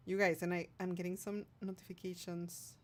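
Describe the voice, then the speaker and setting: A woman's voice: fairly low pitch, flat delivery, brisk, a little hiss or hum. A woman hosting a livestream, reading out viewer comments and chatting casually in a playful, affectionate way. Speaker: streamer hosting a livestream, singer-songwriter.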